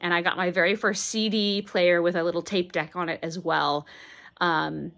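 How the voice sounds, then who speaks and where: A woman in her thirties talking into a phone held at arm's length in her bedroom, pausing often and searching for words. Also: fairly low pitch, fairly even intonation, gravelly, a bit bright. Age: 30s. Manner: halting, searching for words, many fillers. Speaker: vlogger. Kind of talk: casual daily video diary. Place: bedroom indoors, moving boxes behind.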